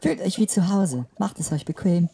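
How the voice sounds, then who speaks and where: A woman's voice: lowish voice, expressive, normal speed, gravelly, mellow, a little hiss or hum. A woman playing a friendly, helpful forensic lab technician in an audio drama. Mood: welcoming.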